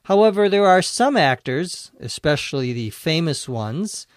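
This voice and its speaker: A man hosting an English-learning podcast, speaking slowly and deliberately, spelling out words letter by letter and slipping in dry jokes. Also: fairly high pitch, big pitch swings, dry recording. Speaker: English teacher and podcast host. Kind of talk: slow, clear ESL podcast explanation.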